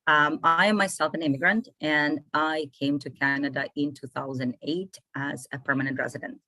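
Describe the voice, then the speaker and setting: A woman, her voice sitting low for a woman. She talks in an animated, conversational way and repeats phrases to stress them. The sound is close and dry, with no room echo. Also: normal speed. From Ukraine. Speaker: immigration consultant, guest. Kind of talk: casual interview talk.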